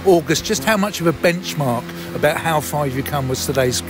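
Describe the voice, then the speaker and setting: Interviewer asking short questions. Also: male voice, a little high, over music. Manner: brief, measured questions. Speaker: club interviewer. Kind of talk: post-match interview questions.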